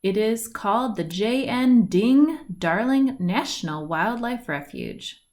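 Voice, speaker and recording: A woman reading a children's picture book aloud, with deliberate phrasing, stretched words and lilting lists. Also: fairly high pitch, expressive, a little mellow. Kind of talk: read-aloud storybook narration. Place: indoors at home, at a wooden table by a window.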